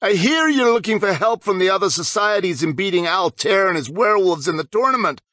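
A man's voice: sits high, expressive, a little fast, bright, forceful tone, no room sound, pitched above the performer's own voice. A man voicing a scornful, scheming teenage boy team captain in an audiobook story. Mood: blunt. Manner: disdainful, scheming.

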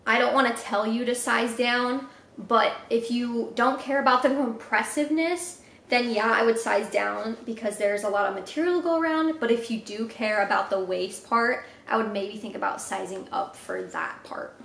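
A woman's voice: on the high side, normal speed, vocal fry, a bit bright. A woman in her twenties in her living room, chatting casually through a clothing review, filling her talk with "like". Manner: chatty, filler-heavy with frequent "like". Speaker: fitness vlogger. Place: living room, seated on couch. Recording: echoey room.

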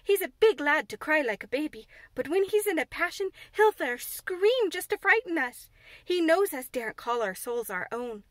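Woman reader doing a young maid: high, loud, close-miked, anxious and pleading. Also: very animated, normal speed, no echo. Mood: warning. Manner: dialect-heavy, anxious and pleading. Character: frightened young Yorkshire maid.

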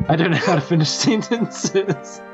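Halting New Zealand man as game master. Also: on the high side, expressive. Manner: halting, self-deprecating. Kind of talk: casual game recap and narration. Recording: slightly muffled, music behind. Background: background music.

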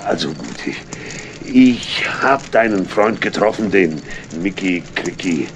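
A crafty old beggar in a children's audio drama. His gravelly voice swings widely as he whines, wheedles and stammers his evasions. A faint noise sits behind the theatrical delivery. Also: low-pitched, lively intonation, slow, full character voice. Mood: stammering, evasive. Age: older. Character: sly, whining old tramp and thief. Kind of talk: 1970s-80s German children's audio drama acting.

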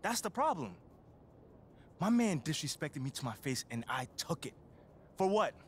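An actor playing a proud, slighted young basketball player, defensive and slangy, in a naturalistic video game cutscene style. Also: male voice, a little high, big pitch swings, moderate pace, a little hiss or hum. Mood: indignant, wounded. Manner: slangy, protesting.

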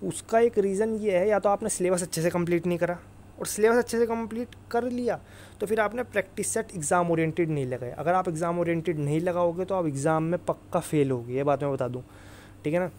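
Male exam-prep presenter, slightly high voice, informal and instructive with emphatic repetition. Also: fast, a little mellow, a little hiss or hum. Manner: instructive, emphatic, repeats tag phrases. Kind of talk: informal explainer over screen recording.

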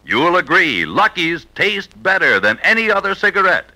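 An American man as a vintage radio announcer, delivering an emphatic, polished commercial pitch. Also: big pitch swings, normal speed, pushed and bright, low-quality recording.

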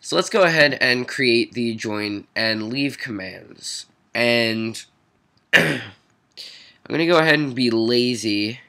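Congested man with a bright voice. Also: mid-range voice. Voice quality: nasal, congested. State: stuffy nose from a cold. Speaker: programming tutorial host. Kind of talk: informal coding walkthrough, thinking aloud. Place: at a computer, screen recording voice-over. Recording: noisy mic with keyboard clicks. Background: faint noise, typing and clicks.

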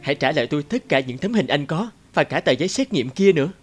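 An actress voicing a distressed, wounded young woman in an animated drama, emotional, indignant and pleading. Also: low-pitched, fast, slightly rough, soft background music. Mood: indignant, defiant. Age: young adult. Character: distressed young engaged woman, defiant, wounded, guilt-ridden.

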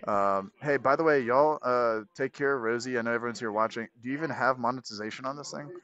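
A man's voice: mid-range voice, fast talker, fairly bright tone, dull audio. Rambling, joking man on a livestream. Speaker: guest streamer. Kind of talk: casual livestream chat.

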